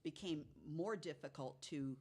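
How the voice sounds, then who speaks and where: A woman's voice: sits low, unhurried, darkish tone, breathy edge. A woman chatting casually as a podcast panelist, with a playful, teasing manner. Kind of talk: casual chat.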